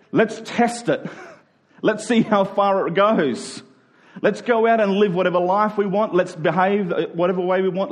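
A man's voice: high voice, darkish tone. A man preaching a conversational sermon, emphatic and rhetorical, often voicing imagined objections.

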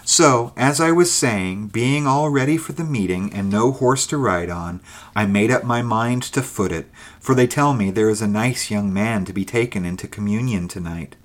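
A man voicing an eager, gossipy old woman who is secretly a witch, speaking in slow, archaic phrases. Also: fairly low pitch, pitched above the performer's own voice, natural voice.

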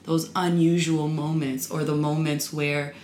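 A woman's voice: deep voice, flat delivery, unhurried, a little mellow, a little hiss or hum. A woman poet whose first language is Spanish, talking reflectively about language and home in an interview. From United States. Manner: thoughtful, reflective, laughs easily. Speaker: poet and author guest. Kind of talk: casual interview conversation. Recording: echoey room with faint ambience.

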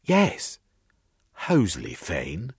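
A man's voice: low voice, huge pitch swings, extremely slow, slightly rough, no room sound, clean studio sound, exaggerated voice. A man voicing a shy, uneducated young London woman of eighteen in an audiobook, speaking in simple dialect phrases. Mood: startled. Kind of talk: audiobook character voice.

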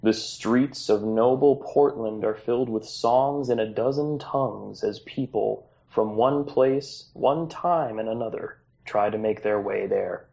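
A man runs a tabletop game, narrating with playful, jokey asides. His voice sits a little low, and the recording sounds dull and lo-fi. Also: a little slow, gravelly, hardly any pauses, dark tone. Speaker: game master.

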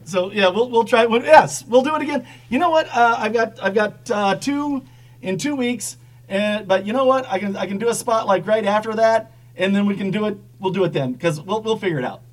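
A man hosting a podcast, joking loudly with frequent swearing. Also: sits high, a little fast, poor audio. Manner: loud, profane, joking. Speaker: podcast host. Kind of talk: casual chat.

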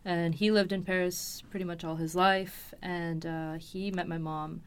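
A woman in a fairly low, very even voice. She tells a personal story as an interview guest, casually and with some hesitation, finding her words as she goes. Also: a little slow, clean tone. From Los Angeles, USA. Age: 20s. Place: recording studio.

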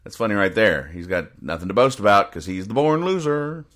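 A man hosting a casual solo podcast, explaining things in a rambling, halting, deadpan way. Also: on the low side. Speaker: podcast host. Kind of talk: casual solo commentary, explaining comic strips.

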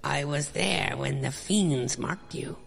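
An ancient jungle crone, acted out by a man, speaks slowly and ominously. The voice is strained and gravelly, full of grating rasp, ringing in a long reverb over music as in a horror comic read as a radio play. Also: a little high, fairly bright tone, heavily acted. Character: ancient old woman, sinister jungle cave witch.

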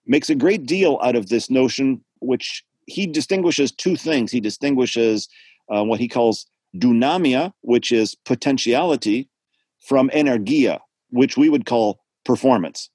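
A man's voice: medium pitch, unhurried, breathy edge, no room sound. A man speaking thoughtfully and at length as a guest in a discussion, over a video call from home. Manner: thoughtful, digressive, lively intonation. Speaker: art theorist guest. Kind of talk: reflective spoken lecture-like talk.

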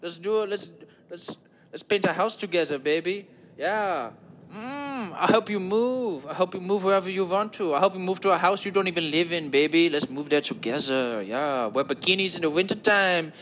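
Man putting on a high, campy German guy. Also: normal speed, a bit bright, slight background noise. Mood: flirty, playful. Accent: exaggerated German.

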